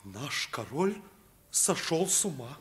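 High, breathy, pompous courtier. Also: male voice, very animated, slow, full character voice. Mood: alarmed. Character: pompous, flustered, fawning royal courtier. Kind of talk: theatrical radio play acting.

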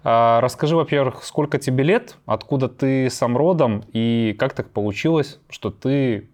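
A man's voice: mid-range voice, moderate pace, a little mellow. A man hosting a conversational interview in a chummy, playful manner. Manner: chummy, playful, addresses guest familiarly. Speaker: podcast host interviewing a guest.

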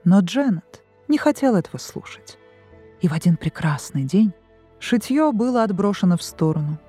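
A female storyteller with a dark, slightly rough-edged voice reads a fairy tale. Her delivery is measured and expressive, and music plays underneath. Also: medium pitch. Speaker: storyteller, voice artist. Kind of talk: scripted fairy-tale narration. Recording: music behind the voice. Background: background music.

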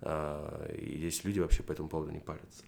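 A man's voice: extremely low pitch, level tone, fast talker, gravelly, a little mellow. A young man in a casual conversation, explaining his points in a structured way with frequent fillers. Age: young adult. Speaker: podcast guest.

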